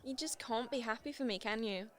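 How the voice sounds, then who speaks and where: A woman's voice: a little high, brisk, natural voice. A woman playing a feisty, devoted niece in an amateur radio play. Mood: hurt, pleading. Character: feisty, devoted niece who works at community centre.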